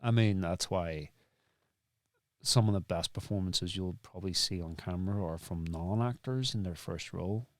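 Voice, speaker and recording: A man's voice: low-pitched, normal speed, no echo, studio quality. A man hosting an interview in reflective conversation, rephrasing and repeating his points for emphasis. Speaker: podcast host and interviewer.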